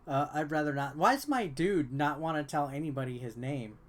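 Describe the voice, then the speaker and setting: A man's voice: fairly high pitch, expressive, brisk. A man doing casual, bored-sounding gameplay commentary, reading dialogue aloud and adding sarcastic asides. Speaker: video game reviewer.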